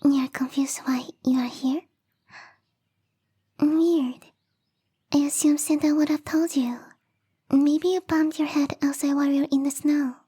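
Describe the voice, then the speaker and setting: Woman as a high, whispering, bubbly young snow spirit. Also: steady tone, unhurried, long pauses. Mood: delighted, doting. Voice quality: soft, breathy whisper. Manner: bubbly, giggly, coy rambling. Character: bubbly, lovestruck young female snow-spirit yuki onna, lonely and rambling. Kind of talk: ASMR roleplay, whispered and intimate.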